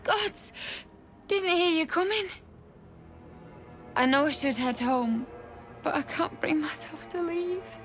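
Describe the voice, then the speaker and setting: Sad young widow in a game, slow and tearful, faint music behind. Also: female voice, very high-pitched, full character voice. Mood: grieving. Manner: tearful, hushed. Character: grieving young village widow. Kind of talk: video game voice acting.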